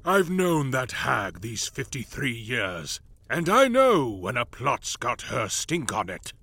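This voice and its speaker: Man doing a proud, bitter old aristocrat, husky and slow, lively swings in pitch. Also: no room sound, full character voice. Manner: slow, growling delivery. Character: old male lord, gruff, bitter and proud.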